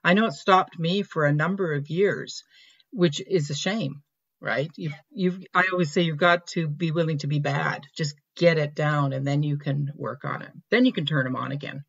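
A woman's voice: deep voice, steady tone, normal speed, slightly rough, dull audio. A woman hosting a podcast interview, chatty and affirming as she talks. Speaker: podcast host. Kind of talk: interview conversation.

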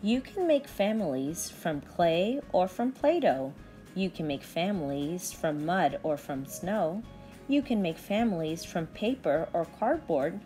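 A woman's voice: lowish voice, expressive, a little slow, warm tone. Woman reading aloud to children, music behind. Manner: simple, instructive phrasing aimed at young children. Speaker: early-grade teacher. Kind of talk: children's read-aloud and lesson talk to pupils.

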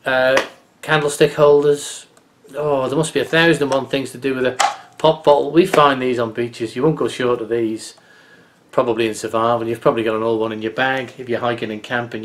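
A chatty man in his fifties records a homespun tutorial into his desk webcam in a small home office. His voice sits at an average pitch and he mutters to himself while working with his hands. A faint hiss runs under the recording. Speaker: bushcraft channel host.

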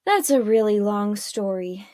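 A woman voicing an emotional long-lost mother in a storybook, gentle and earnest. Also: mid-range voice, a little slow, dry recording, studio quality, close to an everyday voice. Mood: weary.

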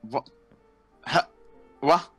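A man's voice: very slow, music in the background. A man improvising casual banter in a Minecraft roleplay, grumbling and incredulous, often stuttering on his words. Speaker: roleplay player.

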